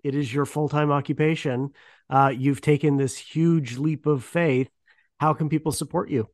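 A man's voice: a little high, fairly even intonation, moderate pace, darkish tone, dry recording, studio quality. A man hosting a podcast interview in a casual, chatty way with wry asides.

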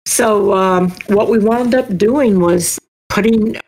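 A woman's voice: medium pitch, slow, darkish tone, poor audio. An older woman with an American accent, chatting casually as a guest on an interview show. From United States. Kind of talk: casual chat.

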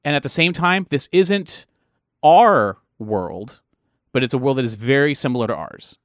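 Man, game master, conversational, animated storyteller, medium pitch, dry recording. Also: normal speed, dry recording. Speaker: game master, show co-creator. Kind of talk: conversational explanation of a role-playing game.

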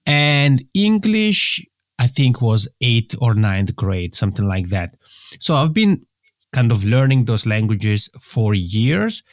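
A man's voice: medium pitch, very animated, takes it slowly, no room sound, clean studio sound. A young man from the Italian-speaking part of Switzerland with a Swiss Italian accent, answering interview questions at length in a friendly way. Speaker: podcast guest.

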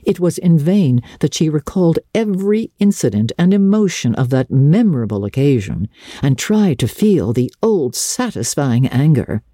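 A woman reading a classic novel as a dramatic audiobook, with measured, expressive storytelling. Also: deep voice, no echo, clean studio sound. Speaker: audiobook narrator.

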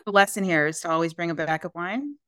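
A woman's voice: lowish voice, fast, a bit bright, smooth, dry recording. A woman guest on a podcast, chatting and musing aloud, often trailing off and restarting her thoughts. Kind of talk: casual chat.

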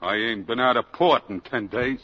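An actor playing a surly, tough sailor who talks in gruff, rough slang in a 1950s radio drama. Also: male voice, mid-range voice, normal speed, pushed and bright. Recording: dull old radio broadcast recording.

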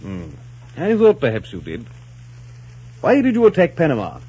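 A regal, wry king from a 1940s radio play. His fairly high voice moves freely up and down, mellow and a little husky, as he teases with stately deliberation. The old broadcast sounds muffled. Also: wildly swooping pitch, slight background noise. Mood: probing. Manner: pompous, deliberate, teasing, with dry pauses. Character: wry, regal English king, shrewd and amused. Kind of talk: 1940s American radio drama acting.

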